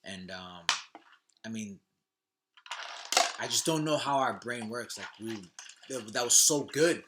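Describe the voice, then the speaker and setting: A man's voice: medium pitch, expressive, a little slow, heavy background noise. A man chatting casually as a podcast co-host, informal and sweary, often repeating himself. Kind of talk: casual chat about movies.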